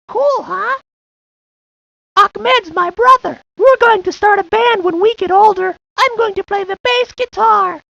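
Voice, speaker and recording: A woman's voice: very high-pitched, a little slow, gravelly, a bit bright, exaggerated voice. A woman voicing an enthusiastic young boy who loves rock and roll in a cartoon video game. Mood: chatty, eager. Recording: muffled lo-fi game audio.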